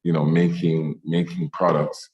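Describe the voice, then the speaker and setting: Man from Jamaica, very deep voice, halting, lots of fillers and restarts. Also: little pitch movement, highs cut off. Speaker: ceramic artist. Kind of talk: online meetup interview.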